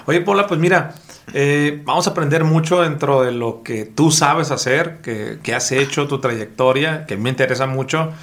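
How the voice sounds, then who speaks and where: A man's voice: fairly high pitch, normal speed, a bit bright. A man hosting a podcast, asking friendly, rambling questions. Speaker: podcast host. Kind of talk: casual interview.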